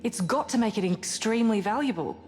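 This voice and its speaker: A woman's voice: fairly high pitch, lively intonation, fairly quick, a little mellow, close to an everyday voice. Actress as intense woman scientist in reverberant hall. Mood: suspicious, urgent. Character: intense, suspicious woman scientist aboard a spaceship. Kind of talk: cinematic video game acting. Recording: long reverberant hall, music behind.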